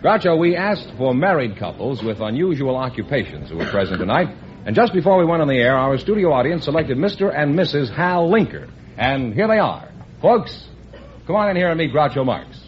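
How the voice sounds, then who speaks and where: A man's voice: on the high side, very animated, fairly quick, a little hiss or hum. A middle-aged man announcing a quiz show and reading a sponsor ad in a polished, emphatic style. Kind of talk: scripted announcing and ad read. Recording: dull old radio broadcast.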